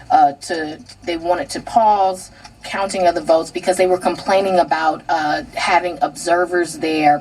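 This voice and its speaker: An American woman reporter giving live unscripted news analysis in a halting way, repeating words as she goes. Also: lowish voice, darkish tone, husky. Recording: distant car radio picked up by camera.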